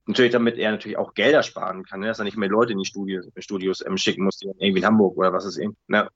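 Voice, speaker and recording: A man chatting casually as an interview guest, rambling colloquially and telling stories in a lively way with frequent tag questions. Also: low voice, very fast, slightly rough, no echo, dull audio. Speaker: interview guest, audio drama writer.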